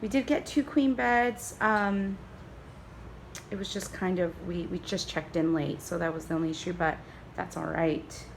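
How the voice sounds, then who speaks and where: Hoarse young woman touring a hotel room on her phone, super excited, lots of ums, a bit echoey. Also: fairly low pitch, measured pace, a little hiss or hum. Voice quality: raspy. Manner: bubbly, excited, chatty with fillers. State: slightly hoarse, lost voice. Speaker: travel vlogger. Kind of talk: casual hotel room tour. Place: hotel room and ground-floor patio. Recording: roomy echo, faint ambience.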